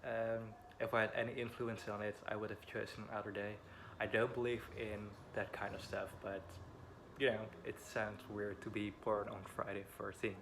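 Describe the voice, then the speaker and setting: Young man, twenties, Dutch accent, slightly low voice, nervous and halting, faint wind and traffic behind. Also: level tone, fairly bright tone. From Netherlands. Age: 20s. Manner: hesitant and apologetic, frequent ums. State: a bit nervous. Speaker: vlogger. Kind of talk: personal storytelling to camera. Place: home beside a busy road. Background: faint wind and passing traffic.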